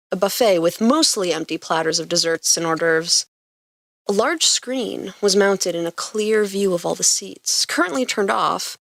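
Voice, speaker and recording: A woman's voice: mid-range voice, fairly bright tone, no echo. A woman reading scripted fiction aloud as the narrator of a story.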